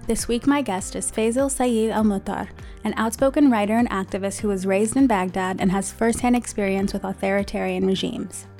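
A woman's voice: mid-range voice, a little fast, a little mellow, faint music behind. A woman hosting a podcast, reading a scripted introduction and asking curious, conversational questions. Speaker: podcast host.

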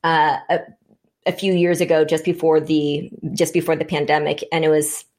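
A woman's voice: deep voice, little pitch movement, normal speed, a little mellow. A woman born in Vietnam, speaking as a panel guest, reflecting aloud and restarting her sentences as she thinks. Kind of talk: conversational panel discussion.

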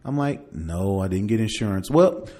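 A man's voice: on the low side, expressive, normal speed, warm tone. A man hosting a podcast, chatting casually through tech news and partly reading aloud, in a conversational way. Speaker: podcast host.

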